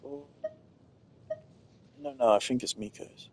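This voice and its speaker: A man's voice: medium pitch, takes it slowly. A man giving casual gameplay commentary, rambling as he thinks aloud. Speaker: gamer.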